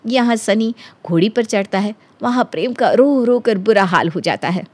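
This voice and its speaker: A woman narrating a scripted animated story as its storyteller. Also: mid-range voice, normal speed, slightly rough.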